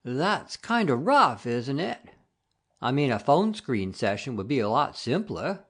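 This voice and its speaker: Placating office subordinate played by a woman, low and unhurried, lively intonation, mild and hesitant, for story dramatization. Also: full character voice. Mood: uneasy. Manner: mild, reassuring, deferential. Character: hesitant, placating studio underling to the boss.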